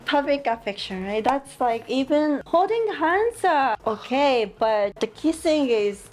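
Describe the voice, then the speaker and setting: A woman's voice: high voice, big pitch swings, background noise. Japanese-accented young woman, careful pace. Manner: slow careful non-native phrasing. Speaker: panel guest. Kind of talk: casual reaction chat.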